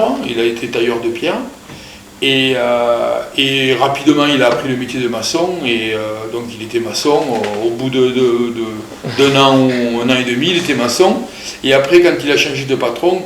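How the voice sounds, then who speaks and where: A man in his fifties, born in Portugal, speaks at a middle pitch in a halting, unhurried way, pausing often and filling gaps with small sounds. His voice carries a noticeable room echo. A faint ambient hum sits underneath. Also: a bit bright. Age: 50s. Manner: hesitant, many pauses and filler sounds. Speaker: interviewee telling his family's migration story. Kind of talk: casual spoken recollection.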